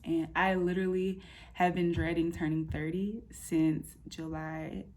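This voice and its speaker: A woman's voice: lowish voice, unhurried. A woman of thirty giving a casual personal update, talking in a candid, informal, slangy way. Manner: candid, informal, slangy, animated retelling with quoted asides. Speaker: vlogger. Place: apartment room, indoors.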